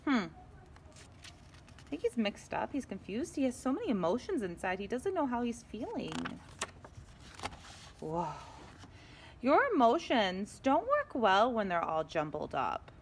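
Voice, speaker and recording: A woman teacher reading a picture book aloud to young children in an expressive way, often turning to them with asides and questions. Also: on the high side, slight background noise. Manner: expressive, slow and deliberate, addressing young children.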